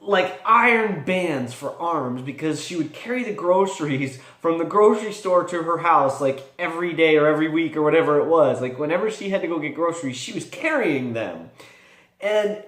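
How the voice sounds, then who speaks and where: A man with a fairly high voice talks in a casual, rambling vlog style. He thinks aloud with animation, and the room around him is noticeably echoey. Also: expressive, moderate pace, a bit bright. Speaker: tabletop gaming vlogger.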